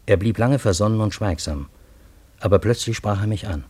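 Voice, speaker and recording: An actor playing a wry, reflective man who narrates his own story in a radio play, with measured, literary phrasing. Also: on the low side, mellow, breathy edge. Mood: observant storytelling. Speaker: first-person narrator.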